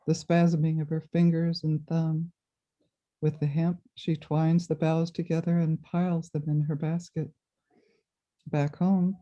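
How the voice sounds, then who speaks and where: A woman reads a prose piece aloud in a slow, measured way over a video call. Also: deep voice, warm tone. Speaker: reader. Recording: dull lo-fi audio.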